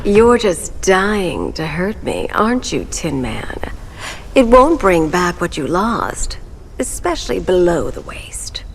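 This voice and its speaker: A woman's voice: lowish voice, big pitch swings, slow, vocal fry, slight background noise, heavily acted. A woman playing a seductive, cruel plant villainess, languid and taunting, in a video game cutscene. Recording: music behind the voice.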